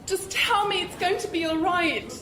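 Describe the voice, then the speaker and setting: An actress playing a frightened, desperate daughter in an audio drama, tearful, distressed and pleading. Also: female voice, very high-pitched, normal speed, a crowd in the background. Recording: echoey room with faint noise.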